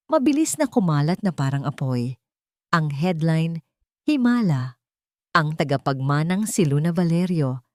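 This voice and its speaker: Filipino lady narrating a dramatic love story, lowish voice, really dry close sound. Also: warm tone, no room sound. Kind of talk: dramatic scripted romance narration.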